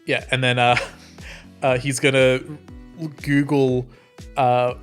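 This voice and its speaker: Mid-pitched man playing a tabletop game. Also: flat delivery, slow, soft background music. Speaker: tabletop role-playing player. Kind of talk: casual improvised game chat.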